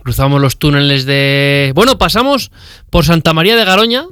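A talkative man, guesting on a radio show to talk about long bike rides. His voice sits a bit high and comes across smooth and resonant. The studio sound is dry and close. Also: normal speed, dry recording. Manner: chatty, animated, retells dialogue. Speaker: cycling guest. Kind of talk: radio interview, storytelling.

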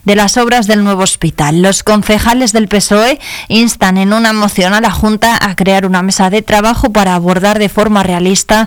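A woman's voice: medium pitch, fairly even intonation, moderate pace, clean tone, no room sound. A woman with a Castilian Spanish accent presenting scripted local news on the radio.

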